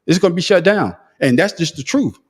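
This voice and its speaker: A man's voice: high voice, animated, fairly quick, breathy edge. A man hosting a casual podcast, arguing emphatically and restarting his sentences often. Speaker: podcast host. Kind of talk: heated casual debate.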